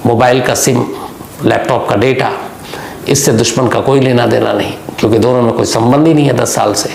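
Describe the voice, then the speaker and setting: A man with a gravelly voice telling a true-crime story as a monologue, deliberately, posing rhetorical questions to the listener. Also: medium pitch, steady tone, a little mellow. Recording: echoey room with faint background noise.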